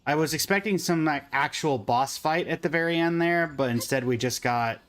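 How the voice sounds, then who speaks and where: A man's voice: on the high side, moderate pace, a bit bright. A man streaming a game with casual, musing commentary, thinking aloud as he plays.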